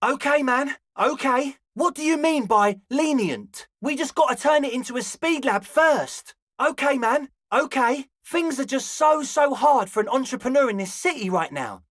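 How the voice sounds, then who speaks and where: A man's voice: very high-pitched, expressive, fairly bright tone, dry recording, clean studio sound, heavily acted. A male actor voicing a young, slangy club hustler in a video game, conciliatory and laid-back with a pleading manner. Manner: slangy, placating, drawn-out pleading. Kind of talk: video game character dialogue.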